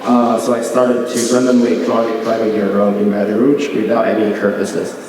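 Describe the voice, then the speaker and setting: A man talks through his slides in a fairly low voice, stopping often for ums and uhs. He is picked up from some distance in a big, very reverberant gallery hall with a faint hiss behind him. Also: level tone, moderate pace, darkish tone. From Beijing, China. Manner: hesitant, many fillers and restarts. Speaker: visiting artist. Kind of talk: artist talk with slides. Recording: echoey gallery hall from far off.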